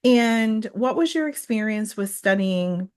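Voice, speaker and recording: A woman's voice: mid-range voice, lively intonation, a little slow, darkish tone, clear, resonant tone. A woman hosting an interview show as a psychologist and exam coach, speaking in a conversational interview style.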